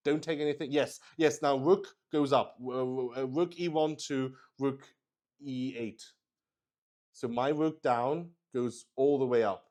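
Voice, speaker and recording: A man hosting a solo roleplaying game, narrating casually as he improvises, halting, repeating himself and swearing mildly in an excitable way. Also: medium pitch.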